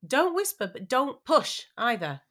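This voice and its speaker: A woman's voice: high voice, sing-song, measured pace, fairly bright tone. A woman and former opera singer co-hosting a casual podcast, chatty and emphatic as she explains things. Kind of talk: casual chat.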